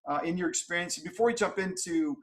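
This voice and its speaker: A middle-aged man hosting an interview over a video call, upbeat and filling pauses with "uh". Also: high voice. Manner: upbeat, many "uh" fillers. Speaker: podcast host. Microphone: webcam on video call.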